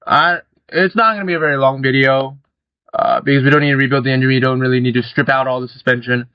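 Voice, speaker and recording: A young man giving relaxed, rambling gameplay commentary, swearing casually as he talks. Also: medium pitch, fast talker, clear, resonant tone, no room sound, muffled recording. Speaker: gaming channel host.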